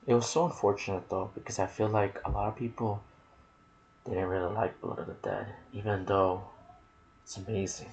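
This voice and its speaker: Low, creaky-voiced man in soft ASMR commentary. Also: fairly even intonation, moderate pace, a little hiss or hum, poor audio. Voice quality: soft, breathy, partly whispered. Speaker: gaming video creator and host. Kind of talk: ASMR gaming commentary mixed with casual chat.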